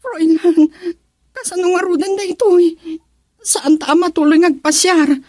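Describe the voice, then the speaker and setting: An actress with a Filipino accent playing a devoted young daughter and sweetheart in a radio drama, expressive and earnest. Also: female voice, very high-pitched, measured pace, dry recording, studio quality. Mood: worried, upset.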